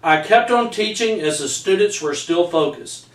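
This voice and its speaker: Higher-voiced man, echoey and distant. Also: expressive, normal speed. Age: middle-aged. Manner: earnest, stumbles over words while reading. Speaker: teacher and author reading aloud. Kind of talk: reading own true story aloud for educators. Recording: echoey room, distant microphone.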